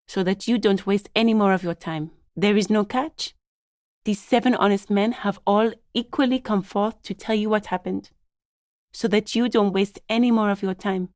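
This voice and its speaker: A woman's voice: mid-range voice, a little mellow, no echo, clean studio sound, close to an everyday voice. A woman playing a stern, guarded lawyer for a gang in a video game, with a formal, clipped, authoritative manner. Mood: firm, guarded. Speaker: video game character voice actor. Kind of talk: scripted game dialogue.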